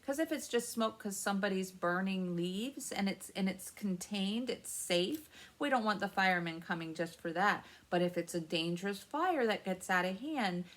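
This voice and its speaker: A woman's voice: fairly low pitch, a bit bright. An American woman hosting a children's story time, asking simple questions and patiently explaining the answers as she goes. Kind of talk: interactive read-aloud and teaching for young children.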